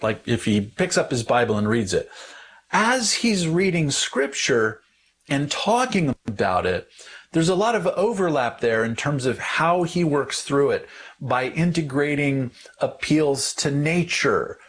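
A man on a podcast panel teaching conversationally about religion, deliberately repeating words for emphasis. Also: a little high, big pitch swings, fairly bright tone, slightly rough.

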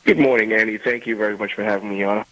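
A man's voice: lowish voice, steady tone, quick pace, fairly bright tone, no echo, low-quality recording. A man from Malaysia, a guest author on a radio interview, explaining his ideas earnestly with frequent restarts.

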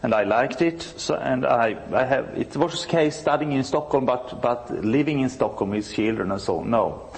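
Gravelly Swedish man groping for English words. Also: mid-range voice, normal speed, hardly any pauses. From northern Sweden. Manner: searches for words, many restarts. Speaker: church musician, interview guest. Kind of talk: conversational interview, halting English.

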